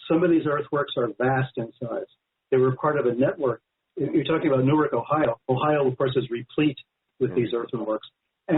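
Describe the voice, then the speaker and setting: Very husky American man on phone line. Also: medium pitch. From United States. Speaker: author, interview guest. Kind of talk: explanatory interview answer. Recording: narrow phone-line sound.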